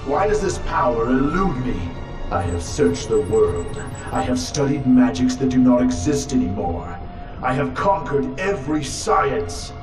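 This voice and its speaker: A man's voice: mid-range voice, very animated, a little slow. A man playing an arrogant, power-hungry supervillain in a video game, with a pompous, theatrical and imperious delivery. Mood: brooding, grandiose. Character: arrogant, menacing supervillain sorcerer-scientist, grandiose and power-hungry. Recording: echoey, distant, loud music behind.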